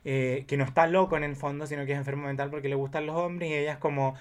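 A man chatting casually about films, telling things in an animated way with emphatic asides. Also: on the high side, fast, clean tone. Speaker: guest film enthusiast. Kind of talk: casual film chat.

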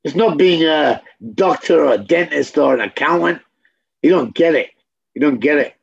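Brief: man, interview guest, blunt and profane, keeps repeating himself for emphasis; raised gravelly tone on a dull lo-fi recording. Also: on the high side, animated, moderate pace. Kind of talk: candid conversation.

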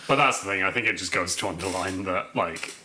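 A man's voice: deep voice, brisk, sharp tone, a little hiss or hum. A man co-hosting a casual political podcast, with a wry, sardonic and self-deprecating way of talking. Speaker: podcast co-host.

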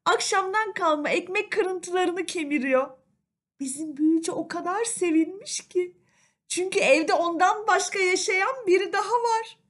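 A woman storyteller narrating a children's fairy tale in an animated, expressive manner. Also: very high-pitched, unhurried.

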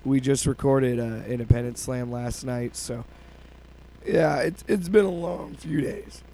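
A man co-hosting a casual podcast chat, hoarse from a cold, rambling and restarting his sentences. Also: medium pitch, measured pace, dark tone, low-quality recording. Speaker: podcast co-host.

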